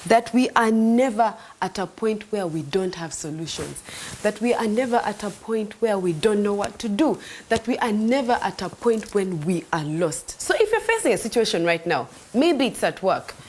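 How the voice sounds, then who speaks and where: A young woman, telling a faith testimony in an earnest, animated way that sometimes drops to a soft near-whisper. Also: medium pitch, normal speed, a little hiss or hum. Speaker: host sharing a faith testimony. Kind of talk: devotional storytelling.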